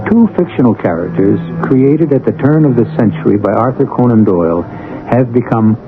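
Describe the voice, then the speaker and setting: A man hosting a radio mystery series with formal, deliberate, portentous scripted narration in 1970s style. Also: mid-range voice, expressive. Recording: tinny old radio broadcast over music.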